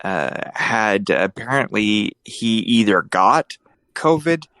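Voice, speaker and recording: A man's voice: on the low side, animated, slow pace, a bit bright, dry recording. A man hosting a casual podcast, rambling and joking with his co-host, often restarting his sentences.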